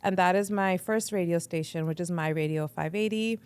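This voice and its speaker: A young woman talking casually as a podcast guest. She speaks in a chatty, quick way, says "like" often, and acts out her own thoughts. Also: on the low side, a little mellow, clear, resonant tone, no room sound. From Canada. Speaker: guest, radio entrepreneur.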